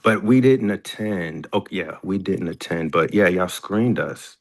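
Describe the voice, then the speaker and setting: A man with a very low, gravelly voice talks casually about his film work. He feels his way through answers, pausing to search for details and doubling back on words. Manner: hesitant, searching for details, often repeating words. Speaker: filmmaker and screenwriter. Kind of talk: casual question-and-answer chat.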